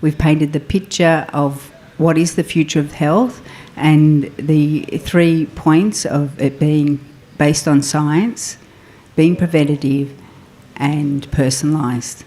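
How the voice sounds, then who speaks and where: A woman's voice: low-pitched, steady tone, takes it slowly, dark tone. A woman founder introducing her health platform on a panel, explaining with some fillers.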